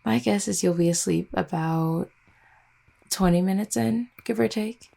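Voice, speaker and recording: Husky-creaky, tender vampire girlfriend played by a woman. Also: medium pitch, a little slow, warm tone. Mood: playful coaxing. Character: affectionate, teasing vampire girlfriend, protective and doting. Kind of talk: intimate roleplay audio acting.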